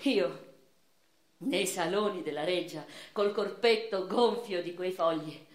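An actress playing a passionate, anguished noblewoman turned revolutionary, recalling her past in a theatrical radio monologue that moves between hushed and impassioned. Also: lowish voice, slow. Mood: tense, confiding. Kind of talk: dramatic first-person radio theatre narration. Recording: roomy echo with music underneath.

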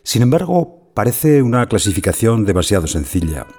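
A man's voice: lowish voice, sing-song, moderate pace, a little mellow. A man narrating a scripted literary introduction as a commentator. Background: music.

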